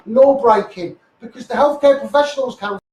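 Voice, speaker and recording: A man's voice: extremely high pitch, brisk, a little mellow, lo-fi sound. A man with a Scouse accent delivers an impassioned, blunt, sweary live rant as a campaigner, repeating phrases for emphasis. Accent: Scouse (Liverpool). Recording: echoey, noisy livestream, distant mic.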